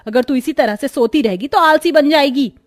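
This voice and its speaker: A woman's voice: high-pitched, normal speed, close to an everyday voice. Cartoon matriarch female actor, older, stern and reproachful, delivers lines as scolding lectures. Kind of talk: Hindi cartoon story dialogue.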